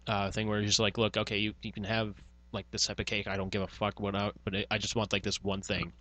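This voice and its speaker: A man's voice: on the low side, completely flat delivery, fast, sharp tone. A man chatting casually as a podcast co-host, rambling and swearing freely as he tells stories. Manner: profane, rambling storytelling with frequent filler words. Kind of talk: casual banter.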